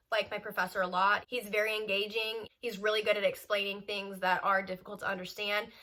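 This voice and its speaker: Young woman in her 20s, stuffy and congested, chatty vlogger with a bright, crisp tone. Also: medium pitch. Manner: chatty and upbeat, with small laughs and fillers. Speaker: law student vlogger. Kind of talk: casual vlog update.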